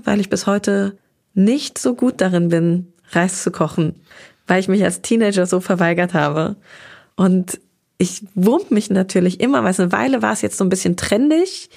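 A young adult woman, a restaurant critic, talking about food in a relaxed interview, lively and enthusiastic, in long flowing sentences. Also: medium pitch, creaky.

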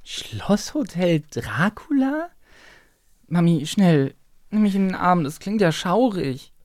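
A man's voice: high-pitched, sing-song, slow pace, a little mellow, dry recording, clean studio sound, voice pushed up, heavily acted. A man voicing a frightened, whiny little child who clings to mummy in a children's radio play. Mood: scared, pleading. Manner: timid, whiny, hesitant.

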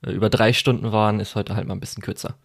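A man with a lowish voice co-hosts a casual podcast chat. He rambles and hesitates, often stopping to fill the gaps and start his sentences over. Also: level tone, rapid-fire, gravelly, slightly rough. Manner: rambling, hesitant, many fillers and restarts. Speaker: podcast co-host.

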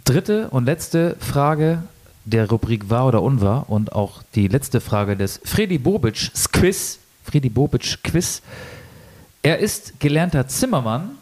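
A man co-hosting a casual football podcast, reading quiz statements deliberately and teasing his co-host. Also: medium pitch, sing-song, darkish tone.

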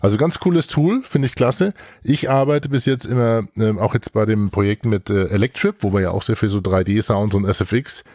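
Low-voiced man in casual podcast banter. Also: brisk, hardly any pauses, a little mellow, no echo, studio quality. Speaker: podcast host. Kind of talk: casual chat.

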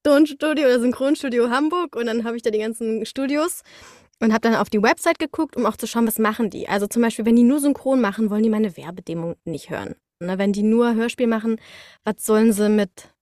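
Woman guest chatting casually, highish voice, lively way of telling stuff, very dry sound. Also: a little fast, dry recording. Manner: animated storyteller, acts out short quotes, laughs often. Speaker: voice actor, interview guest. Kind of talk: casual conversational interview.

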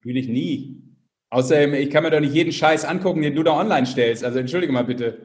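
A man's voice: fairly high pitch, fast talker, slight background noise. A man co-hosting a sports podcast in casual banter, teasing with dry sarcasm.

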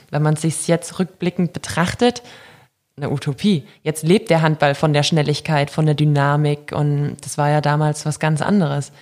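Candid, low-pitched woman giving interview answers. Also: darkish tone. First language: mixed German and Russian at home. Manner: candid, informal, laughs readily. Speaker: interview guest, professional handball player. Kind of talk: casual interview answers, anecdotes.

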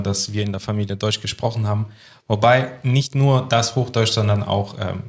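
A man co-hosting a podcast, chatting in a relaxed way and explaining with a few fillers, recorded in a room at a language institute. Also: lowish voice, gravelly. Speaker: podcast co-host. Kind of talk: casual podcast chat.

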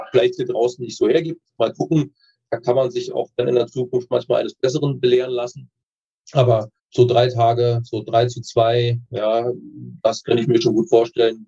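A man answering casually as an interview guest, informal and matter-of-fact, with a halting way of building his sentences. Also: medium pitch, gravelly, warm tone, slightly rough. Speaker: interview guest, dentist with orthodontic practice. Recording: dull, muffled lo-fi connection.

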